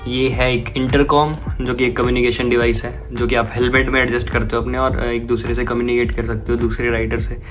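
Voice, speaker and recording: An Indian man speaks in a casual vlog style, with little rise or fall in his mid-range pitch. He talks informally to his viewers like friends and slips English phrases into his explanations. Music plays behind his voice. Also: little pitch movement, a little fast, few pauses, fairly bright tone. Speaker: vlogger. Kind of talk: casual vlog talk, unboxing and product walkthrough.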